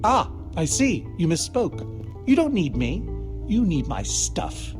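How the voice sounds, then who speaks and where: An oddball hermit inventor in a game, highish voice, super up and down, wry n wordy, music behind. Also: male voice, huge pitch swings, slow pace, heavily acted. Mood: bitter, guarded. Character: eccentric, evasive, neurotic reclusive male inventor. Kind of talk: animated video game voice acting. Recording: loud music under the voice.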